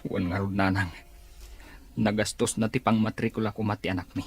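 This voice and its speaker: A man's voice: lowish voice, fairly even intonation, fairly quick, creaky, husky. Man as a worried middle-aged son begging his mom, earnest, music under it, radio drama. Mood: pleading. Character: troubled married son pleading with his mother.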